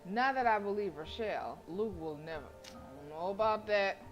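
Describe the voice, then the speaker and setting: A woman game streamer reading a visual novel aloud and reacting casually, with sassy, expressive delivery. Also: mid-range voice, moderate pace, low-quality recording. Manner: expressive, sassy, talks back to characters. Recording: faint game music behind the voice. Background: game music.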